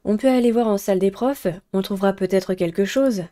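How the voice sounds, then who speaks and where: Woman playing a curious, mellow schoolgirl heroine. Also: medium pitch, fairly quick, lightly acted. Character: curious, eager new teenage schoolgirl heroine.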